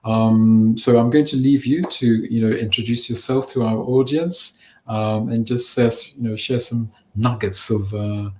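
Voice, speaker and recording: A man hosting an online panel over a video call, halting and encouraging, often repeating his words. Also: medium pitch, fairly even intonation, a little mellow, echoey room.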